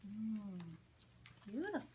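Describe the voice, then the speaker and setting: A woman reading a picture book aloud to young children, with dramatic, drawn-out phrasing and little asides. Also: medium pitch, very animated, warm tone, slight background noise. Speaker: children's storytime reader.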